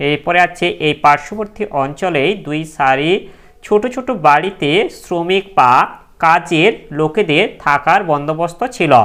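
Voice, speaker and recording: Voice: mid-range voice, a bit bright, clean tone. A teacher reads exam questions and answers aloud as a lesson, instructing students directly.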